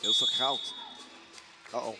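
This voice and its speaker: A man calling a basketball game play by play, energetic and jokey, getting louder on big plays. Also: slow. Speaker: play-by-play commentator. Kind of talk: live basketball commentary. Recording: music playing behind the commentary.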